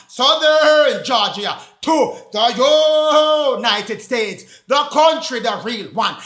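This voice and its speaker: Man, high voice, bright, fiery shouted preaching, sing-song, echoey room.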